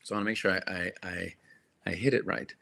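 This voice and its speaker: A man hosting a live chat with viewers, his voice a little raspy and quite bright and forward. He talks in a loose, rambling way, full of fillers and restarts, pitched somewhat low. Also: steady tone, fast. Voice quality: slightly raspy. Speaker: livestream host.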